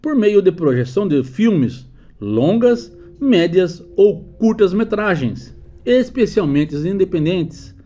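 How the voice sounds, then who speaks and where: A man's voice: high voice, very animated, takes it slowly, mellow. A man reading a formal scripted narration like an announcer.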